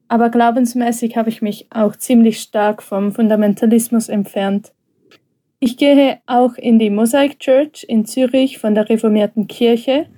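A young woman with a Swiss German accent talks as a podcast guest. Her voice sits a little high but sounds mellow and warm. She speaks thoughtfully, often pausing with small hesitations. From Switzerland. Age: young adult. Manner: thoughtful, with frequent hesitation fillers. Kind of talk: casual podcast conversation.

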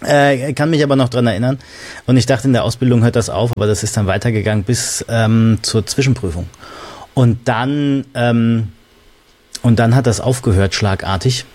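A man's voice: mid-range voice, moderate pace, darkish tone. A man hosting a casual podcast conversation, speaking colloquially and candidly with a joking streak. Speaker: podcast host.

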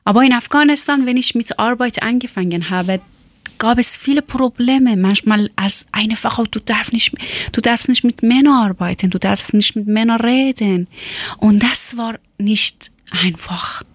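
Woman w persian accent telling her own story, non-native speaker, slow n halting, hunts for words, dry close sound. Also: on the high side, no echo. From Iran. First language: not German. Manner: halting, searching for words, repeats herself. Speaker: former teacher telling her life story. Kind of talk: personal spoken account.

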